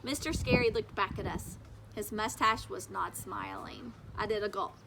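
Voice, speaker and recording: A woman's voice: mid-range voice, moderate pace, vocal fry, very noisy. A woman reading a children's story aloud as a teacher, narrating expressively with emphatic, childlike phrasing.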